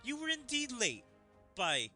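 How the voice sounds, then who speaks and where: Man voicing a stern, bright-voiced demon teacher woman. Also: very high-pitched, wildly swooping pitch, unhurried, faint music behind, pitched above the performer's own voice, heavily acted. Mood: stern scolding.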